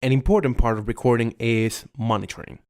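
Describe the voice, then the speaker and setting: A man reading his own scripted voiceover in a measured, formal way, close to a USB condenser mic at home. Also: medium pitch, a little mellow. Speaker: video creator.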